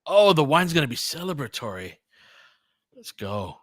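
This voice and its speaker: A man's voice: fairly high pitch, big pitch swings, moderate pace, no echo. American man casually commentating, dry close sound. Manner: conversational, thinks aloud, answers listeners. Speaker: host of fan play-by-play livestream. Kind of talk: casual live basketball commentary and chat.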